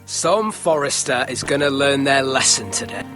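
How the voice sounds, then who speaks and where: A man's voice: medium pitch, expressive, normal speed, over music, lightly acted. A man playing an arrogant, cruel young lord in a dramatic video game, sneering and petulant as he taunts. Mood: cruel taunting. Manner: sneering, taunting, profane, imperious.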